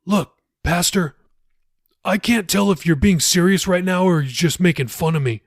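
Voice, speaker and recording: Man playing a sullen, higher-voiced young man. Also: normal speed, no echo, studio quality. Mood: irritated. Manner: curt, defensive, blunt. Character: sullen, troubled young man, curt and guarded.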